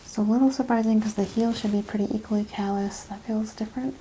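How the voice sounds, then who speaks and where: A soft-spoken woman doing an ASMR clinical exam roleplay, giving step-by-step instructions and often dropping into whispers. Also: medium pitch, fairly even intonation, fast, low-quality recording. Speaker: ASMR performer playing a medical examiner. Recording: clear background noise and ambience.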